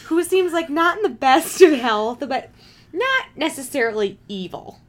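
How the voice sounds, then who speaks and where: A woman recounting a story in casual chat, with a relaxed, filler-heavy delivery. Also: high-pitched, a little slow. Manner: casual, lots of "like". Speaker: guest storyteller.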